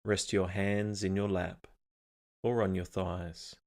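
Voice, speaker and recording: A man leading a scripted guided meditation in a calm, measured, instructional manner. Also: deep voice.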